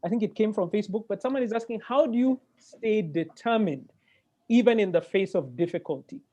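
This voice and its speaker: A man with a Kenyan accent moderating a webinar conversationally, animated and given to digressions and interjections. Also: sits high, brisk. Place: indoor room, video call. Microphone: earphone mic on video call. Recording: dull, lo-fi video-call audio.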